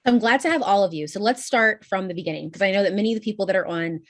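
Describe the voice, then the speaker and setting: A woman's voice: medium pitch, racing, dry recording. A woman hosting an online panel over a video call, chatty and enthusiastic. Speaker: panel moderator.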